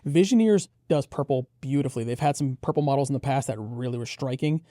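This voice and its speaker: A man's voice: mid-range voice, fast, warm tone, no echo, studio quality. A man co-hosting a product review, explaining and giving his impressions in a conversational way. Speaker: headphone show co-host reviewer.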